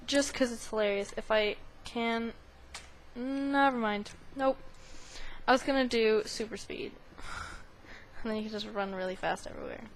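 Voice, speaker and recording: Playful high-pitched woman, noisy recording. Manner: playful, silly, sing-song asides. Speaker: gaming video host. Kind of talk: casual game playthrough commentary. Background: video game sound.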